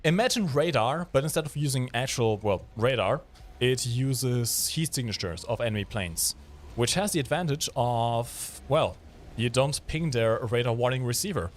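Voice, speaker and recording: A man's voice: mid-range voice, animated, moderate pace, slight background noise. A man from Germany with a German accent, hosting a game livestream with casual, explanatory commentary and jokey chat.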